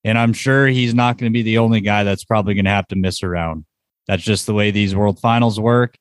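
A man's voice: lowish voice, fairly even intonation, a little fast, dry recording, studio quality. A man hosting a casual sports podcast, chatting informally about results and what is coming up. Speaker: podcast host.

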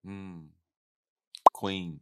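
A man's voice: low-pitched, steady tone, drawn-out words. A man co-hosting a podcast, chiming in with short, affirming murmurs and replies. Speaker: podcast co-host. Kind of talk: casual podcast chat, brief agreements. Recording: loud noisy recording.

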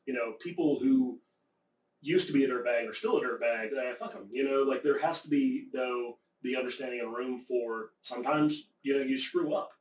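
A man from the American South talking in casual conversation, rambling and profane, often getting animated. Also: mid-range voice, moderate pace. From American South, Appalachia. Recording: echoey room, distant mic.

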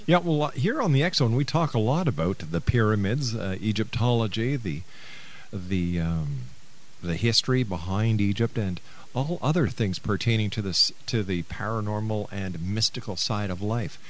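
A man's voice: on the low side, lively intonation, normal speed. A middle-aged man hosting a radio show, speaking as an interviewer.

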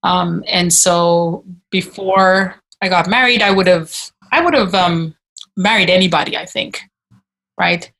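Woman, forties, lowish voice, hesitant, restarts often, video call. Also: steady tone, slow, fairly bright tone, low-quality recording. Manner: hesitant, many restarts and fillers. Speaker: discussion participant. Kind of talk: personal reflection in a group video-call discussion.

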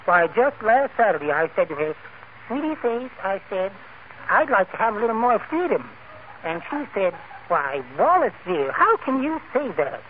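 Meek little henpecked fellow, mincing, high-pitched, old radio comedy, tinny noisy recording. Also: male voice, big pitch swings, moderate pace. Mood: meek, plaintive. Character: meek, henpecked little man, mild and timid. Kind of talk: 1940s radio comedy acting. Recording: old thin radio recording, heavy noise.

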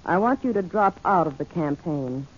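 A woman's voice: lowish voice, animated, normal speed, husky. An actress playing a sly, mock-polite female blackmailer who poses as a wife, in 1940s radio drama style on a muffled old recording. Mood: sly, menacing. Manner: coy, mock-polite, insinuating.

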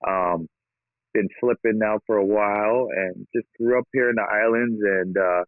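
A man's voice: on the low side, normal speed, no room sound. A man from Hawaii, a real estate investor guest, talking casually and upbeat with frequent laughs over a call. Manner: upbeat, laughs often, energetic. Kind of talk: casual interview talk. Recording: tinny phone-like line, dry.

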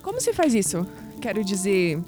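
A woman's voice: mid-range voice, big pitch swings, moderate pace, music in the background, lightly acted. A woman playing a curious, teasing young skeptic with a Brazilian accent in an audio drama, speaking in a wry, intimate way.

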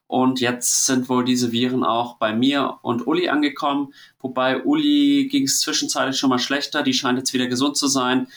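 A man's voice: medium pitch, moderate pace, hardly any pauses. Tired man with a cold, chatting. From Germany. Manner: relaxed, rambling, chatty storytelling. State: has a cold, tired and worn out. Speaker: host of a travel diary podcast. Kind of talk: casual spoken travel diary.

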